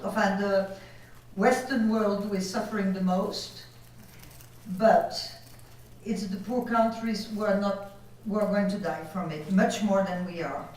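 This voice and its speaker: A woman from Belgium with a French accent, whose first language is French, giving a conference lecture over slides and pausing now and then to search for words. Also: mid-range voice, a little mellow. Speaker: conference speaker. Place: conference hall. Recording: echoey hall from far off.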